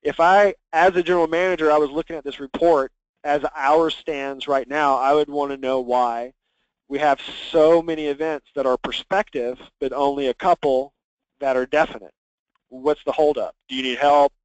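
A man leading a software training conference call, talking conversationally and instructively as he walks listeners through things. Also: on the high side, fairly even intonation, normal speed, no echo, highs cut off. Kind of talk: conversational screen-share walkthrough. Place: conference call with screen share.

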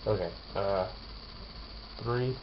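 Sleepy young guy lying in bed, lowish voice, muffled webcam sound with hiss, keeps trailing off. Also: drawn-out words. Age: young adult. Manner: drowsy, mumbling, trailing off. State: tired, yawning. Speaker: vlogger. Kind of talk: casual vlog with rapped verses. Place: small bedroom, lying down. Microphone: webcam held close overhead. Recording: dull lo-fi webcam audio with noise.

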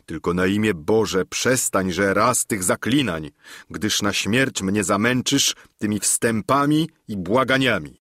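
A man voicing a deluded, solemn old knight-errant who speaks in a grave, lofty, measured way. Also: lowish voice, fairly bright tone, no room sound, studio quality.

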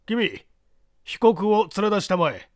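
A man's voice: sits high, lively intonation, measured pace, darkish tone, dry recording, clean studio sound, pitched above the performer's own voice. A man voicing a shrewd, commanding judge who presses a suspect in an audiobook story. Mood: triumphant, commanding. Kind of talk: audiobook character dialogue.

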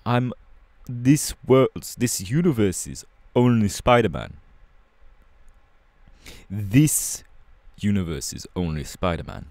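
A low, dry-recorded voice of a young punk hero acted by a man in an audio roleplay. He drawls in casual slang, rebellious and laid-back, with a short fuse. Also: a little mellow, no room sound, close to an everyday voice.

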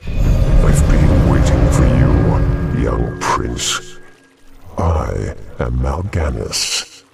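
Extremely deep gravelly demon lord. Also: male voice, heavily acted. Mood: gloating menace. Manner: slow, ominous, theatrical. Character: menacing demon lord gloating over a doomed city. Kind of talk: video game cutscene acting. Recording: loud music under the voice.

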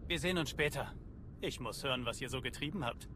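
A man's voice: medium pitch, fast talker, noisy background, close to an everyday voice. A man playing a skeptical field doctor in a German game dub, blunt and wry. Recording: sci-fi game ambience behind voice.

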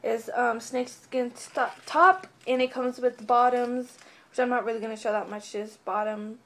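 A woman's voice: a little high, steady tone, normal speed, a bit bright. A young woman in a casual haul vlog, losing her voice and a bit sick, rambling in a bubbly way with lots of fillers, recorded on a webcam in her bedroom. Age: young adult. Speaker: vlogger. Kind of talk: casual haul video chat. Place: bedroom, indoors.

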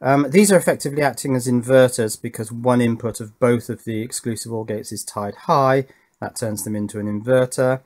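Male tutorial presenter, medium pitch, thinks aloud methodically, unscripted technical delivery. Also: darkish tone. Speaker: presenter of electronics tutorial channel. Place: indoors at a workbench.

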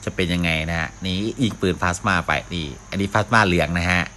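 Deep-voiced man doing casual game commentary. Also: fairly even intonation, fast, sharp tone, slight background noise. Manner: mouths sound effects, talks to himself while playing. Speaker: gaming video host. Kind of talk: casual live gameplay commentary.

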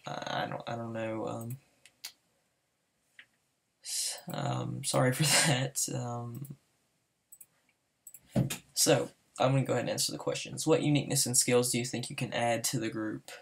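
A man's voice: medium pitch, fairly even intonation, measured pace, creaky, long pauses, slightly rough. A fifteen-year-old boy with a Southern US accent, talking nervously over his gameplay with many hesitations. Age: teen. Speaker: gamer applying to a server. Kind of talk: casual voice-over gameplay commentary.

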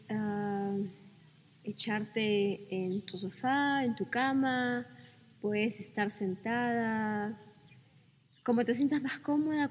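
A woman leading a guided meditation, speaking calmly and soothingly with gentle pauses between phrases. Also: a little high, slight background noise. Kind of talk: guided meditation, slow spoken instructions. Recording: long reverb with music behind.

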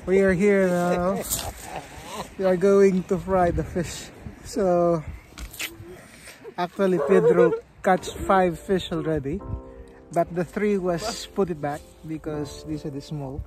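A woman's voice: lowish voice, fairly even intonation, slow, rough recording. A woman narrating a casual fishing vlog outdoors on a beach, cheerful and laughing often. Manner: cheerful, laughs often, mixes in Tagalog words. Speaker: vlogger filming a fishing trip. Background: background music.

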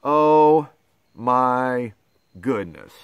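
A man's voice: mid-range voice, drawn-out words, smooth, no room sound, muffled recording. A middle-aged man reading a picture book aloud to children with theatrical, exaggerated dismay and astonishment. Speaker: pastor reading to schoolchildren.

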